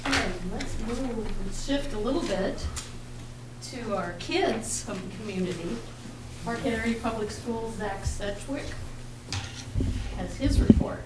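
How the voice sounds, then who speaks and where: A woman with a slightly high voice chairs a public meeting, speaking slowly. She is picked up from a distance in an echoey room, and loud noise sits behind her. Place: township board meeting room.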